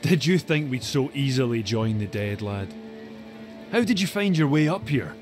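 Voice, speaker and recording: An actor playing an older, weathered hillman and protective father in a video game, gruff and wry with folksy phrasing. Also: mid-range voice, expressive, normal speed, music in the background, close to an everyday voice. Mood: wry, surprised. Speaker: game character.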